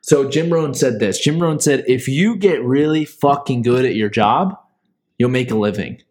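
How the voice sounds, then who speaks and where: A young business owner hosting a podcast, talking casually and earnestly, with frequent swearing and motivational advice. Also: male voice, medium pitch, moderate pace, a little mellow. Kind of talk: casual chat.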